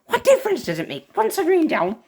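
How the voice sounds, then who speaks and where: A woman voicing a spiteful female diesel engine in a homemade storybook reading, with a shrill, taunting delivery. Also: huge pitch swings, fast talker, creaky, darkish tone, heavily acted. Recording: background noise.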